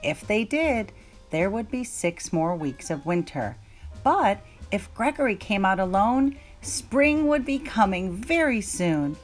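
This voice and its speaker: Lively woman storyteller over soft music. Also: medium pitch, takes it slowly. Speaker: storyteller reading aloud. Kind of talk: children's story narration.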